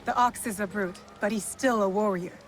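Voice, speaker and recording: A woman's voice: mid-range voice, moderate pace, soft background music, natural voice. An actress playing a resolute, principled young female shinobi in a video game, firm and serious. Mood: serious, determined. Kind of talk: video game cutscene acting.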